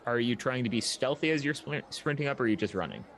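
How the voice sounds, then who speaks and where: A man's voice: mid-range voice, lively intonation, fast, fairly bright tone, slight background noise. A man narrating a tabletop roleplaying game as its game master, describing scenes and asking for checks.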